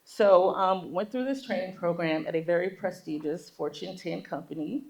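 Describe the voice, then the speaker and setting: Candid, wry woman with a creaky voice. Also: fairly low pitch, normal speed, a crowd in the background, poor audio. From born in Guyana, Guyanese immigrant family. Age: 40s. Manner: candid, wry, chuckles at own asides. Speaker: interview guest, designer. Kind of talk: casual conversational interview, storytelling.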